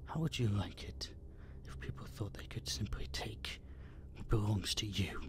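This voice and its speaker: A man's voice: lowish voice, natural voice. Man acting as a whispering, obsessive masked kidnapper. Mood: coolly possessive. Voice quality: breathy, gravelly whisper. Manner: slow, dominant, cooing and teasing. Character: possessive, obsessive masked male kidnapper, coaxing and menacing. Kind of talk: intimate whispered roleplay audio drama.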